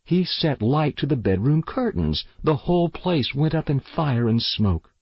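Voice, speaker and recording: A man's voice: mid-range voice, sing-song, measured pace, breathy edge, no echo, muffled recording, voice pushed up, exaggerated voice. A man voicing a desperate, haunted girl in a story reading, pleading and accusing with intensity. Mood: anguished. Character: desperate haunted girl insisting her family are dead.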